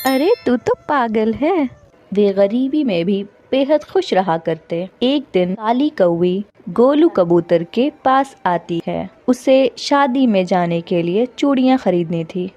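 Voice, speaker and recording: A woman's voice: medium pitch, expressive, slow, few pauses, dark tone, lo-fi sound. A woman giving scripted narration for a cartoon story.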